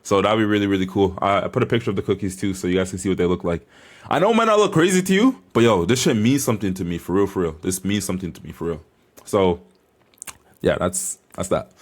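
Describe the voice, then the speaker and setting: Low-voiced young man, slangy and hyped. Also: fast talker. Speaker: bodybuilder vlogger. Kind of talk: casual chat to camera.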